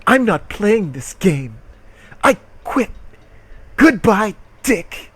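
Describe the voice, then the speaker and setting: A man's voice: sits high, sing-song, extremely slow, a bit bright, slight background noise, voice pushed up, exaggerated voice. A man voicing an indignant female employee, defiant and emphatic, in a comic story reading. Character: indignant young woman employee standing up to boss.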